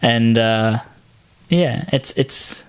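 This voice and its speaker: Halting man in casual podcast chat. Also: mid-range voice, clear, resonant tone. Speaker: podcast co-host. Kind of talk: casual chat, improvised movie pitching.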